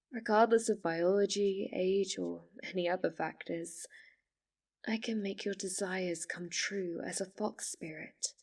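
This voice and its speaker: Woman as fox spirit for ASMR drama: unhurried, courteous, formal, possessive, quietly dangerous, set back from the mic. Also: medium pitch, husky, far from the mic. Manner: formal, measured, composed wording. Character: female fox spirit, polite, obsessively devoted, quietly menacing. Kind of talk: ASMR roleplay acting.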